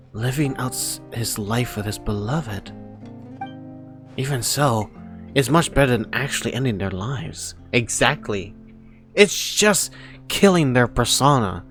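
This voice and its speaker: A woman giving casual, emotional, exclamatory reaction commentary as a game streamer. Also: extremely low pitch.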